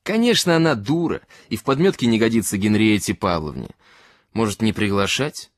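A man voicing a small melancholy gentleman in a literary story, shy and eccentric, speaking hesitantly and confidingly with distinct words. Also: lowish voice, expressive, a little fast, dry recording, studio quality. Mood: wistful, hesitant.

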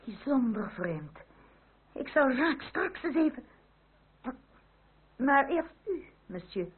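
A woman's voice: high voice, sing-song, slow, slightly rough, heavily acted. An actress playing a haughty, middle-aged French royalist noblewoman in a Dutch radio drama, speaking in a formal, imperious, genteel manner. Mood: formal, surprised. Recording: tinny, band-limited, hissy old radio recording.

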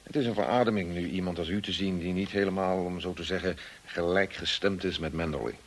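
An actor in a Dutch radio drama playing a kind, discreet and loyal estate manager, courteous and measured in his speech. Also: deep voice, fairly even intonation, a little hiss or hum. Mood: kind, reassuring.

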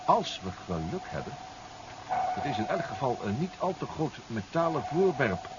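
An actor playing a calm, formal professor who pilots a submarine in a 1970s radio drama, measured and reassuring. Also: male voice, medium pitch, close to an everyday voice. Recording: muffled old radio recording with clear noise.

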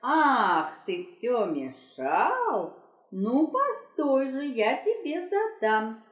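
A woman's voice: a little high, very animated, drawn-out words, voice pushed up, heavily acted. A sly little she-fox from a folk tale, acted out by a woman, pushy and coaxing in a raised, swooping sing-song. The sound is a muffled cassette with a roomy echo. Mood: indignant, scolding. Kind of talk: children's folk tale character voice.

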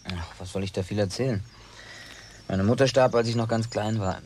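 An actor playing a brash, touchy young country-bred working man in a German radio play, talking in a colloquial, blunt, excitable way. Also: on the low side, a little fast, a little hiss or hum, close to an everyday voice. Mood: chatty.

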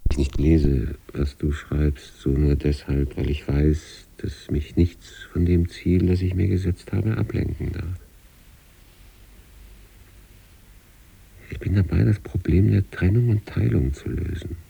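A man acting an obsessive sanatorium patient in a literary radio monologue, reading out letters to his wife with measured, introspective intensity and a husky, gravelly voice. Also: fairly even intonation, far from the mic, exaggerated voice, low-quality recording. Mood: fervent, secretive. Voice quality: husky, gravelly, dark low voice.

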